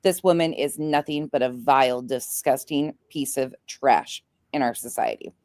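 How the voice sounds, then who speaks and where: A woman's voice: extremely low pitch, moderate pace, a little mellow, no echo. A woman giving casual reaction commentary in a blunt, sarcastic, crude-talking way. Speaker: online show commentator.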